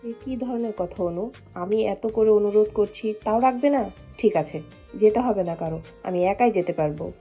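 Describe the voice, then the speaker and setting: Actress as mellow, insistent young sister-in-law. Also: female voice, medium pitch, normal speed, natural voice. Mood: offended, upset. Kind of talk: Bengali audio story dialogue. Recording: music bed under the voice.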